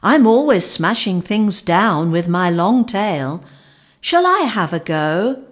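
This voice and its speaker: A woman doing a gentle, clumsy dinosaur. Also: mid-range voice, big pitch swings, takes it slowly. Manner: polite, apologetic, soft-hearted. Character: gentle, clumsy female dinosaur, big and slow.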